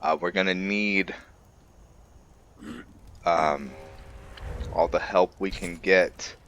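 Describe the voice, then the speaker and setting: A man's voice: sits low, steady tone, extremely slow, fairly bright tone, muffled recording, slight background noise. A man doing casual let's-play roleplay commentary, musing to himself a little hesitantly over game ambience. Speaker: gaming video host and player.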